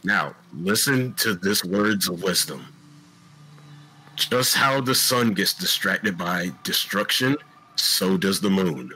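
Robot bear sidekick, male actor, forceful and lively, repeats urgent guidance with sudden yelps, parody game dub over music. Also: low-pitched, slow, slightly rough, heavily acted, low-quality recording. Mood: coaching. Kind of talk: improvised comedic fandub.

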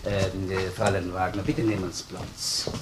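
Low male Viennese investigator, played by an actor, sardonic, colloquial, gruff; radio crime drama, faint noise. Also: little pitch movement, moderate pace, close to an everyday voice. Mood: brisk, offhand. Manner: colloquial, sardonic, keeps tagging sentences with "ne?". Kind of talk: German-language crime radio play acting.

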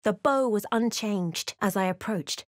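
An actress playing an observant, wry woman adventurer in a fantasy video game, with measured, dramatic storytelling delivery. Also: mid-range voice, dry recording, clean studio sound, close to an everyday voice. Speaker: voice actor for a fantasy role-playing game companion or player character. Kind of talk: scripted video game voice lines, narration and character remarks.

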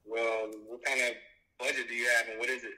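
A man's voice: medium pitch, level tone, fairly quick, sharp tone, poor audio. A man taking a casual business call over speakerphone, explaining things in a hesitant way. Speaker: media production business owner taking a call. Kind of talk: casual business phone talk.

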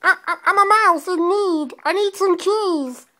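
Tiny mouse, very high and slow, pleading over and over for cheese. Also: male voice, pushed and bright, exaggerated voice. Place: living room on a leather sofa, indoors.

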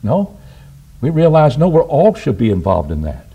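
Lively preacher with a swinging voice. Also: male voice, moderate pace, husky, highs cut off. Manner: lively, rises and drops in pitch for emphasis, asks rhetorical questions. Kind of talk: sermon. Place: church auditorium at a wooden pulpit. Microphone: pulpit microphone on a stand.

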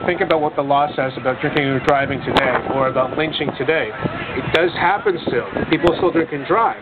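A man adding a point at an outdoor campus event, speaking slowly and measured. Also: mid-range voice, narrow, tinny audio. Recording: very loud background noise.

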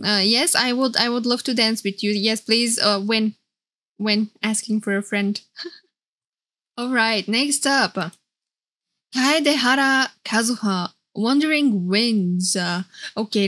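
A young woman streamer giving casual, excitable, gushing live reaction commentary. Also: on the high side. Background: game trailer music.

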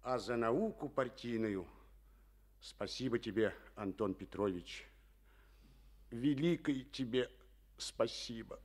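A man's voice: medium pitch, takes it slowly, long pauses, a little mellow. An actor playing a stubborn, folksy old collective farm chairman with rustic speech in an old radio drama. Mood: heartfelt. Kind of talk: 1950s Soviet radio drama acting.

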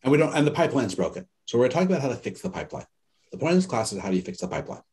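A man lecturing to an online class, racing through his points with big swings in pitch. His voice is warm and dark, and he slips into the voices of the people in his stories. The sound is that of a webcam on a video call. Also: very fast. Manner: animated storyteller, acts out quoted people. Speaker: course instructor. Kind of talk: lively conversational lecture.

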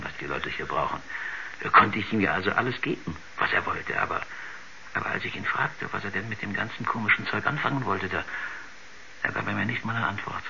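An actor playing a rambling, uneasy village shopkeeper who stammers and repeats himself in a 1970s radio drama. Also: male voice, deep voice, gravelly, pushed and bright, full character voice. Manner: hesitant, stammering, repetitive, rambling. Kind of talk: 1970s science-fiction radio drama acting. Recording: dull, noisy 1970s radio recording.

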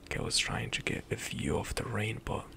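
A young man whispering softly in a slow ASMR ramble over gameplay, close to the mic, at home. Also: a little hiss or hum. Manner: soft whispered rambling with light laughs. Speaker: ASMR gaming streamer. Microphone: close studio mic, headset on.

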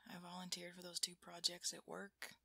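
A woman performs a shaken, affectionate girlfriend in an intimate ASMR audio roleplay. Her voice is very breathy and mostly whispered, with a creaky edge. The delivery is halting and close, recorded very dry. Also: lowish voice, completely flat delivery, bright, forceful tone, no echo, exaggerated voice. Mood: soothed, apologetic.

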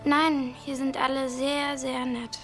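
High-voiced homesick teenage girl. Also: flat delivery, slow pace, a bit bright, soft background music. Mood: subdued. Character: homesick teenage exchange student, touchy and upset. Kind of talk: naturalistic TV drama acting. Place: furnished rooms, indoors.

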